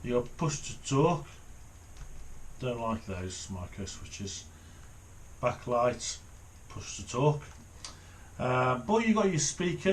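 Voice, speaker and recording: A middle-aged man giving casual unboxing commentary, thinking aloud in an unhurried way as he handles things at a desk in a small room. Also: medium pitch, a little hiss or hum. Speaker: hobbyist reviewer. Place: small home office, indoors.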